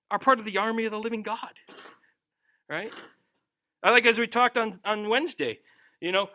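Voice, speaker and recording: A middle-aged man preaching a sermon, reading scripture and then commenting in an animated way, often acting out the voices he quotes. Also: high voice. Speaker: pastor. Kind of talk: expository sermon.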